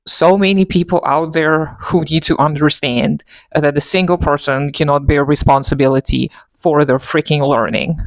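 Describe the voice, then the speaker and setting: A woman's voice: deep voice, dry recording, rough recording. A woman vlogger ranting unscripted to her camera, exaggerating for effect and mocking herself as she goes. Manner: exaggerating and self-mocking, with frequent laughter. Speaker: political video blogger. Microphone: camera mic.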